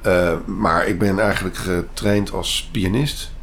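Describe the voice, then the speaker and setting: A man's voice: low-pitched, steady tone, a little slow, vocal fry, fairly bright tone, slight background noise. A middle-aged man from the eastern Netherlands, a keyboard player, introducing himself casually in an interview.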